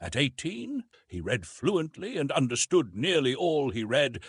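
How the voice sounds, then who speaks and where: A man's voice: medium pitch, very animated, unhurried, slightly rough, dry recording. A man narrating an adventure story from a script in a dramatic, theatrical way, drawing out words for emphasis. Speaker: audiobook narrator.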